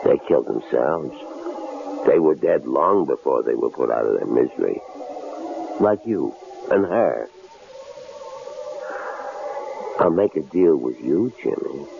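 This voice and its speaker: An actor in a 1970s radio drama playing a menacing killer holding captives, taunting them with coolly sardonic, drawling questions. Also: male voice, a bass voice, animated, unhurried, gravelly, heavily acted. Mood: grim. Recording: tinny, noisy old radio broadcast recording.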